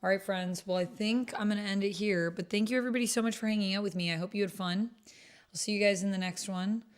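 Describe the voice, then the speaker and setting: A woman's voice: mid-range voice, fairly even intonation, quick pace. A woman hosting a livestream, chatting casually and informally with her viewers. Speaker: livestream host.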